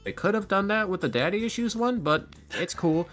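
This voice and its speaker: A man who makes roleplay videos, talking casually as a podcast guest and acting out quotes as he tells stories in an animated way. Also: sits high, brisk, soft background music. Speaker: interview guest, ASMR roleplay video creator.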